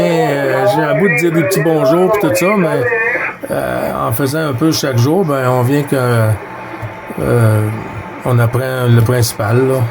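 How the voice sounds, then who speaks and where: A high-voiced man with a Quebec French accent works as a CB radio operator. He makes casual contact calls, repeating short greetings. There is clear noise around his voice. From Quebec, Canada. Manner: repeats greetings and call phrases in several languages. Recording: noisy CB radio session.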